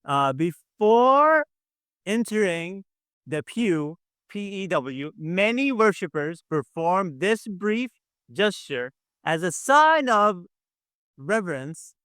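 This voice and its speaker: A man with a fairly high voice hosts a casual online quiz. He is encouraging and tends to repeat himself. The sound is close and dry, on a video call mic. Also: very animated, slow, a bit bright, smooth, dry recording. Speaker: quiz show host. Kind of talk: casual game show hosting.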